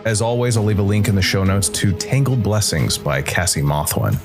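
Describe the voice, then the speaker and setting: A man hosting and narrating a scripted story podcast in a measured way, with dramatic pauses. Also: lowish voice, expressive. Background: soft music bed.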